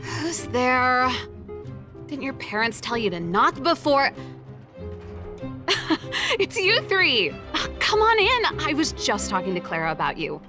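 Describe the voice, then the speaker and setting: A woman's voice: high voice, big pitch swings, takes it slowly, music in the background, heavily acted. A woman voicing a cool, friendly young rock musician in a video game, breezy and teasing.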